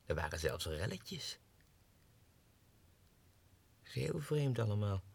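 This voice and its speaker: Husky, wildly expressive deluded clerk. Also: male voice, medium pitch, heavily acted. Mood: puzzled. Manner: theatrical, swinging from muttering whispers to agitated outbursts. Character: deluded low-ranking Russian clerk, obsessive, self-important, going mad. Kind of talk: 1960s radio drama monologue, diary entries. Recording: dry 1960s radio studio recording.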